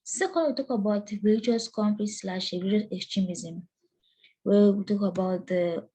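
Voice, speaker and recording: A woman's voice: mid-range voice, normal speed, dark tone, slightly rough. Cameroonian woman presenting a lecture. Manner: earnest, with frequent fillers and restarts.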